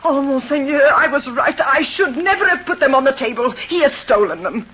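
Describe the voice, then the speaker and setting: An actress playing a fretful, flustered and devoted old housekeeper in an old-time radio drama. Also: female voice, high-pitched, expressive, moderate pace, fairly bright tone. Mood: distraught. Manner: flustered, deferential, complaining. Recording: dull, noisy old radio recording.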